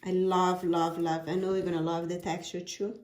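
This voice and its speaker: A woman's voice: fairly low pitch, little pitch movement, moderate pace, a little mellow, reverberant, slight background noise. A woman from Brazil with a Brazilian Portuguese accent, speaking English as a second language and gushing as she unboxes her own oracle deck. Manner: gushing, repeats words of praise. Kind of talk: product unboxing vlog.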